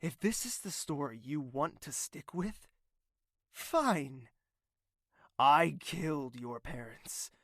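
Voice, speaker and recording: Fantasy audio drama: defiant, embittered prince played by a man, creaky and unhurried, sardonic, hushed one moment and explosive the next. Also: a little high, animated, dry recording, clean studio sound, heavily acted. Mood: bitter, furious. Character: embittered crown prince, proud self-confessed villain, wounded and defiant.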